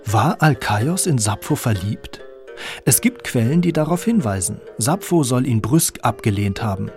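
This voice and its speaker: A man's voice: mid-range voice, lively intonation, normal speed, a little mellow, faint music behind. A man reading scripted narration as the narrator of a radio documentary.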